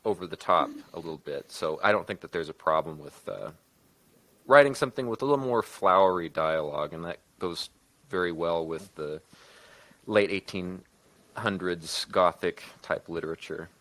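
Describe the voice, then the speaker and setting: A man talking casually as a podcast guest, in a thoughtful, measured and somewhat hesitant manner. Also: deep voice, expressive, fairly bright tone, dry recording. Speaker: podcast guest, co-producer and writer. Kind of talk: casual discussion.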